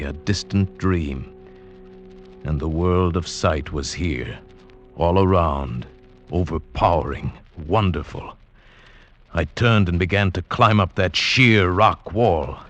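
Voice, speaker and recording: A wistful young traveller going blind, slow and low, old radio drama with soft music under it. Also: male voice. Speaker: actor and narrator in a radio drama. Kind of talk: 1940s radio acting.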